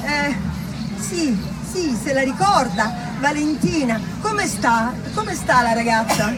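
A woman performs an anxious mother in a theatre monologue. She is deferential yet insistent, pleading in a highish voice. Music and crowd are loud around her. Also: animated, slow pace, distant mic, poor audio.

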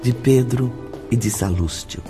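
A man narrating a radio drama in a measured, scripted way. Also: medium pitch. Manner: slow, measured. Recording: radio drama with faint music.

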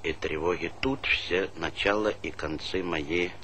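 A weary, fatherly village boss in a stage drama. His lowish voice has a forceful edge, yet he speaks slowly and reflectively with long pauses, on a slightly noisy old recording. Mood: reflective, heartfelt. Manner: measured, pausing, plain-spoken. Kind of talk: theatrical stage-play dialogue.

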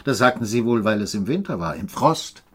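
An actor playing a stern, world-weary small-town official, measured and deliberate, in a German radio play. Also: male voice, fairly low pitch, lively intonation, fairly bright tone, husky, no echo. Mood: stern, probing.

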